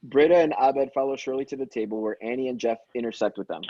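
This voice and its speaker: A man's voice: mid-range voice, quick pace, dark tone, slight background noise. A man reading stage directions as the narrator of a scripted table read. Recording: dull, slightly lo-fi sound.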